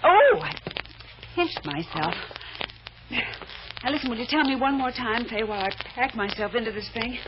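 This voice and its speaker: On a hissy, dull vintage radio recording, an actress plays a plucky young American woman on a secret errand. Her fairly high voice is brisk, breezy and urgent. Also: expressive, natural voice. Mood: brisk, flustered. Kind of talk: old-time radio drama acting.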